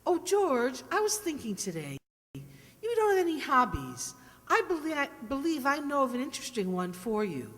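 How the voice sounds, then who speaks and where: An actress playing a chirpy, coaxing young wife in an old-time radio comedy. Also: female voice, high-pitched, wildly swooping pitch, normal speed, fairly bright tone, heavily acted. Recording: echoey hall, long reverb.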